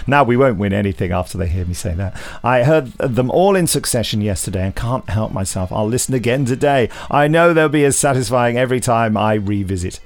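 A man hosting a podcast, reading aloud and chatting in a theatrical, emphatic way with joking asides. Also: medium pitch. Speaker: podcast host.

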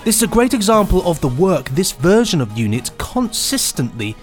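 A man co-hosts a podcast over a light music bed. His fairly high voice swings up and down with lively expression, and his delivery is chatty and playful. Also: normal speed. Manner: jokey, playful. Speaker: podcast co-host. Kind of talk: chatty, semi-scripted podcast presenting.

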